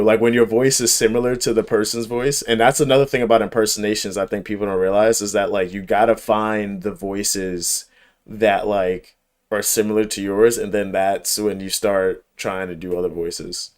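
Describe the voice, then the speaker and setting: A man livestreaming a game and chatting casually, with slangy, profane, emphatic speech and a habit of repeating himself. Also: on the low side. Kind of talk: casual chat while gaming.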